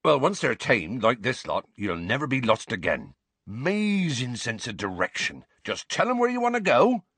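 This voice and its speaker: A man voicing a flustered, good-natured giant of a teacher, with halting, informal speech. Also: mid-range voice, big pitch swings, a bit bright, husky, dry recording, pitched above the performer's own voice, full character voice. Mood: trying to carry on.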